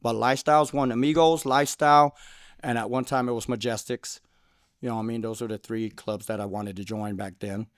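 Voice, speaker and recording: A man's voice: medium pitch, steady tone, fairly quick, smooth, dry recording, studio quality. A man who founded a car club, talking earnestly in a casual interview and often repeating his phrases. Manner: earnest, repeats phrases, says "you know what I mean".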